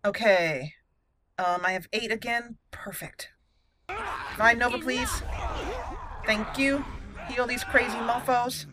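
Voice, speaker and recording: A woman's voice: a little high, slow, long pauses, noisy background. A woman giving casual, chatty video game commentary, talking through each step as she plays. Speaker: video game let's play host.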